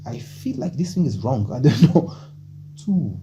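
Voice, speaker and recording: A man's voice: medium pitch, big pitch swings, unhurried, dark tone. A man whose first language is Portuguese gives a casual coding lesson in English, chatty and loose, often tagging "okay?" and thinking aloud. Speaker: programming tutor. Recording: dull lo-fi mic with faint hum.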